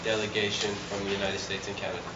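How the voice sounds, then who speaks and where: Low, flat-voiced male organizer from Detroit; distant, echoey. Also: quick pace, dull audio, very noisy. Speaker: environmental justice organizer. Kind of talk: self-introduction.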